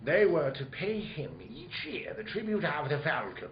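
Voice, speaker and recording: An actor playing a pompous, courtly, greedy crime boss in a noir radio play, florid and grandiose. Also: male voice, on the high side, huge pitch swings, moderate pace. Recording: dull roomy recording with background noise.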